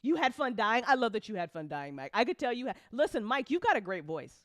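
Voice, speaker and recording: A woman hosting a casual livestream chat as an audio drama creator, animated and joking, with informal, sweary talk full of y'all. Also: a little high, quick pace, no room sound, studio quality. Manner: informal speech with frequent y'all. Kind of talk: casual livestream chat answering audience questions.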